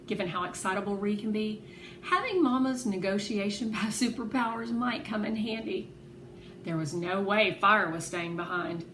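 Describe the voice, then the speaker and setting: A woman reading a children's novel aloud as an expressive, animated narrator. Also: medium pitch, moderate pace. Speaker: read-aloud host. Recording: echoey room with clear background noise.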